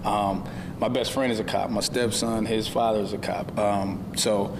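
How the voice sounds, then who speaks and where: A man with a lowish voice and very little pitch movement talks reflectively as a panel guest, feeling his way through his points. The recording is reverberant and noisy. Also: level tone, moderate pace. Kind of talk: conversational panel discussion.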